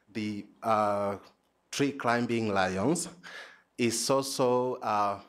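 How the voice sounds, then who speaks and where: A man with a Tanzanian accent giving an academic conference presentation as a PhD student, hesitant and halting, often repeating words. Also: lowish voice.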